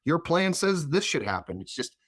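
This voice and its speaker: A man speaking as an interview guest in a reflective, explanatory way, phrasing his thoughts in a measured manner. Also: fairly high pitch, sing-song, fairly bright tone, slightly rough, no echo, clean studio sound. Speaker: interview guest, chief of staff.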